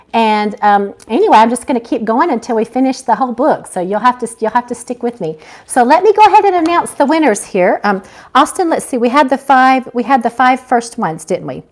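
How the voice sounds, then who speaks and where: A woman's voice: fairly high pitch, a little mellow. A woman hosting a casual live chat as an author, effusive and grateful toward her viewers. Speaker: author and live-stream host.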